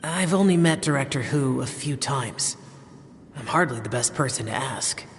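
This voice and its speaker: A man's voice: mid-range voice, expressive, normal speed, a little hiss or hum, natural voice. An actor playing an aloof, reserved young male guardian warrior in a video game, speaking tersely. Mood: reserved, dry. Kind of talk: scripted video game dialogue.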